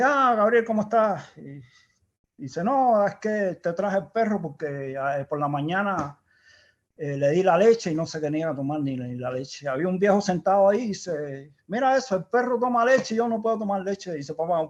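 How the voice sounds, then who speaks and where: A man's voice: a little high, a little mellow. A man with a Cuban accent, an engineer guest in an interview, recalling the past in a reflective, rambling way with restarts. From Cuba. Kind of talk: casual storytelling interview. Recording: dull lo-fi sound.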